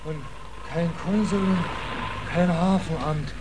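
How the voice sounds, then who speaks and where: A weary shipwrecked sailor in an old radio play, fairly high-voiced and slow. He is subdued and steadfast, speaking hesitantly and soothingly under heavy noise on a vintage recording. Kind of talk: 1940s German radio drama acting.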